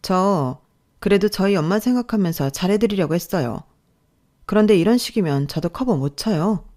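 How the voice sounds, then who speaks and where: A woman's voice: medium pitch, animated, moderate pace, a little mellow, lightly acted. A woman voicing a young coworker and mentor who is fed up, speaking in a firm, reproachful way.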